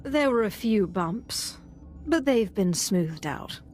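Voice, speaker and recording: An actress playing a cool, commanding dark sorceress in a game, curt and controlled. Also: female voice, mid-range voice, animated, slow pace, soft background music. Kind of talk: dramatic game cutscene acting.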